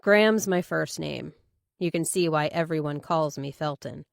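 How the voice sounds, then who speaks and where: A woman's voice: sits low, moderate pace, creaky, no echo, studio quality. A woman voicing a bashful, awkward male police detective in hesitant, sheepish audiobook dialogue. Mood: awkward, embarrassed.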